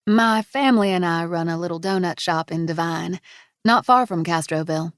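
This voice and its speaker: A woman voicing a kind donut shop owner who speaks gently and warmly. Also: fairly low pitch, normal speed, no echo, clean studio sound, lightly acted.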